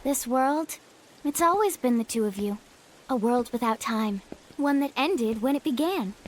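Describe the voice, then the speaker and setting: A mysterious, teasing young woman in a game cutscene. She is high and lilting, airy and knowing, with faint ambient noise. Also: lively intonation, unhurried. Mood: cryptic, composed. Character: cryptic, cheerfully mysterious young woman who knows all. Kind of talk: animated game cutscene acting.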